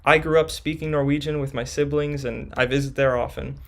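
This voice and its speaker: Young man, stream host, fairly high voice, relaxed Q&A with chat. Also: a little fast. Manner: reads chat questions aloud and answers casually. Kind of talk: casual live stream Q&A.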